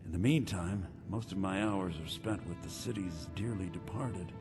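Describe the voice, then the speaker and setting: An actor playing a devout, solemn priest in a fantasy game, speaking in a formal, pious manner. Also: male voice, deep voice, moderate pace, music in the background. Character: devout, solemn priest who tends the dead.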